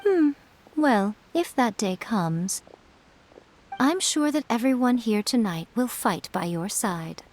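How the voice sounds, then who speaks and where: A woman's voice: a little high, lively intonation, slow pace, a little mellow, slight background noise. A woman playing a sly, teasing, elegant shrine priestess in a video game, playful and knowing. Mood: thoughtful.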